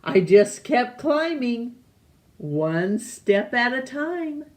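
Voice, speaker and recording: A woman reading a children's picture book aloud, deliberately, with pauses between phrases. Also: on the high side, sing-song. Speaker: storytime reader.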